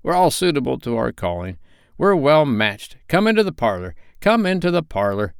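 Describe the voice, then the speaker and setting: A man's voice: medium pitch, very animated, normal speed, gravelly, clear, resonant tone, no echo, clean studio sound, voice pushed up. A man voicing a crafty, wheedling old male rag-and-bone dealer who haggles with a chuckle. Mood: welcoming.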